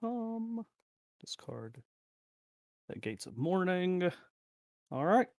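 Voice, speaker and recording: A man's voice: high voice, sing-song, extremely slow, darkish tone, no echo, clean studio sound. A middle-aged man chatting casually as he plays a card game, talking through his moves and muttering to himself and the cards.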